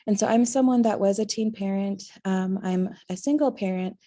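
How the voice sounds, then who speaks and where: A slightly hoarse woman opening a webinar presentation, talking earnestly with pauses and "um" fillers. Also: medium pitch, mellow.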